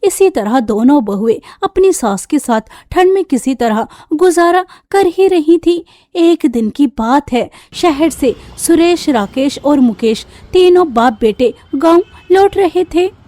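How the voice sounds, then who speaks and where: A woman's voice: high voice, lively intonation, takes it slowly, mellow, no room sound. A woman reading scripted narration for a cartoon story as the storyteller.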